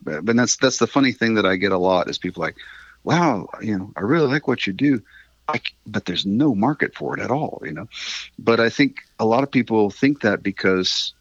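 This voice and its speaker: A middle-aged man with a husky voice, chatting candidly and casually as an interview guest, rambling a little and swearing mildly. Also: fairly low pitch, animated, brisk, no room sound, dull audio. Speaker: interview guest, independent musician.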